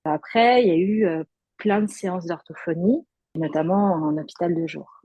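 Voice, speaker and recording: A woman's voice: lowish voice, moderate pace, no echo, muffled recording. A woman guest giving a personal testimony in conversation, hesitant, with many fillers and restarts.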